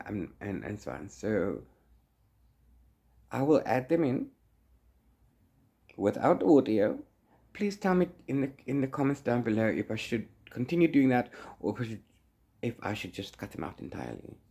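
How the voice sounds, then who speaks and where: A man's voice: on the low side, darkish tone. A man chatting casually as a book vlogger, rambling along in a playful, theatrical way. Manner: rambling, trails off into blah blah and la-la fillers. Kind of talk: casual, chatty reading vlog.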